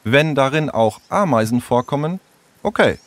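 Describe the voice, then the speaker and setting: A man's voice: mid-range voice, expressive, moderate pace, no room sound, voice pushed up, close to an everyday voice. A man voicing a dreamy, earnest young boy fascinated by insects in a storybook reading. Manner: absorbed, earnest. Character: dreamy young boy Tom, ant-obsessed reader.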